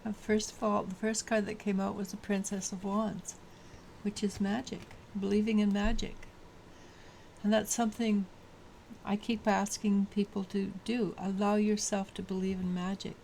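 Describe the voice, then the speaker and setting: A woman with a low voice giving a daily tarot reading, halting and full of restarts. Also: level tone, gravelly, a little mellow, slight background noise, rough recording. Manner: halting, many restarts and fillers. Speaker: tarot reader.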